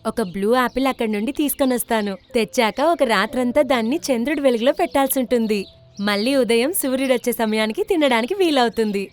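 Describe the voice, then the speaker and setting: A woman's voice: a little high, fast, close to an everyday voice. A woman voicing a caring, sensible mother bird in a children's cartoon story. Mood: firm, matter-of-fact. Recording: background music under the voice.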